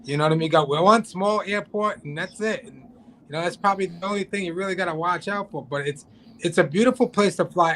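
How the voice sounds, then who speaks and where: A man's voice: sits high, a bit bright, slight background noise. A man talking as a guest on a live drone show, rambling with many restarts and fillers. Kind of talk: casual chat on a live show. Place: home, cold region.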